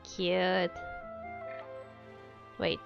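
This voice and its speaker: Woman game streamer, slow-paced casual chatter, playful manner, slightly dull audio, faint music. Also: fairly low pitch, completely flat delivery, gravelly.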